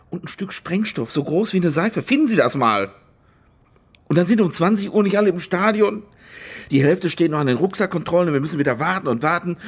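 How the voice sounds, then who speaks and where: An actor playing an anxious, blustering stadium boss in a German radio crime drama, stammering and sarcastic, with a husky voice. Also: male voice, sits high, exaggerated voice.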